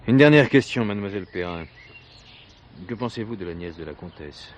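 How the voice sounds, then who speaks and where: Over a noisy old recording with birdsong, an actor plays a persistent male police commissioner. His questions are courteous yet insistent, with lively swings in intonation, in the style of 1970s radio drama. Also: fairly low pitch, brisk, highs cut off, lightly acted.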